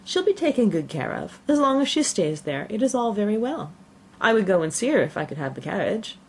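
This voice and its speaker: Woman voicing a high-pitched fussy, silly mother. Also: sing-song, a little fast, darkish tone, slightly rough. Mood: breezy, dismissive. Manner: exclamatory, dismissive fussing. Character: silly, excitable middle-aged mother, self-satisfied and scolding. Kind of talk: audiobook character voice.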